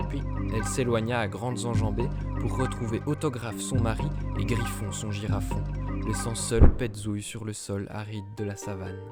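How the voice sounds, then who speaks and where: Guy narrating a kids story, lively and rhythmic, music playing under him. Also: fairly low pitch. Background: children's music.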